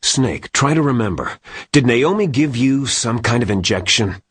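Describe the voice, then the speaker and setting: Older military insider, husky, secretive and measured, pitch moves around a lot, video game acting. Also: male voice, expressive, a bit bright, no room sound, studio quality. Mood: grave, confiding. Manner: measured, conspiratorial.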